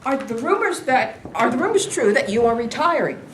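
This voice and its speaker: A woman playing a persistent male sports reporter, asking questions haltingly in a staged reading, in an echoey, slightly noisy room. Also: on the high side, very animated. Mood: probing. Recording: echoey room, faint noise.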